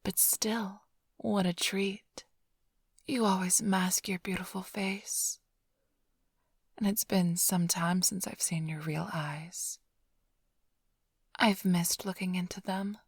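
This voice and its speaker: A woman acts out a seductive vampire thief for an ASMR roleplay. She speaks in a breathy whisper with an unhurried, teasing drawl and a smug edge. The sound is intimate and dry, right up on the microphone. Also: mid-range voice, steady tone, no echo. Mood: teasing, flirtatious. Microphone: close-up studio microphone, dry.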